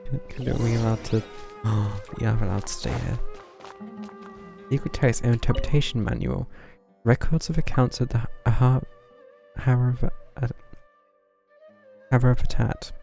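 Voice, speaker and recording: Casting: male gameplay streamer, offhand muttered commentary, creaky voice over clear game music. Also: medium pitch, normal speed. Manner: muttering, reading game text aloud. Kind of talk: casual live gameplay commentary.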